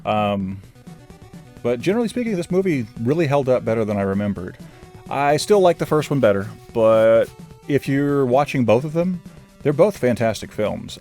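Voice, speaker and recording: Rambling low-voiced man reviewing films. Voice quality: says his own voice is normally monotone. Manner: rambling, self-deprecating, fillers and restarts. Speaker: movie reviewer. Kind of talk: casual rambling film review.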